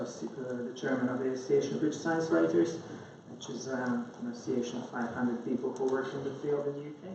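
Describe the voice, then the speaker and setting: A man from Croatia gives an informal conference presentation, explaining things in a hesitant, loosely structured way. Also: medium pitch. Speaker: conference speaker, science journalist. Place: indoor conference room. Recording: very echoey room, distant mic, dull, faint noise.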